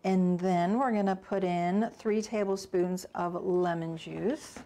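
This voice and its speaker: A woman's voice: fairly low pitch, fairly even intonation, slow, noisy background. A middle-aged woman giving a casual cooking class in her home kitchen, chatting and thinking aloud as she works, recorded by a camera a few steps away. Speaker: cooking instructor.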